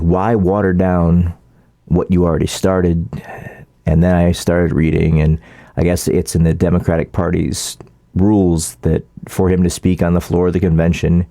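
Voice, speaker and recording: Deep, gravelly man talking politics casually. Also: warm tone, no room sound, studio quality. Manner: halting, informal, rambling. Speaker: political vlogger. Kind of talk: casual opinionated commentary.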